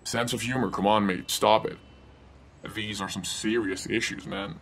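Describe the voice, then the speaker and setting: A man's voice: on the low side, moderate pace, creaky, a bit bright, slight background noise. A man playing the crude, mocking bad side of a young man's mind, who begs for cigarettes and drink and teases everyone. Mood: teasing. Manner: teasing, cocky, laughs at others.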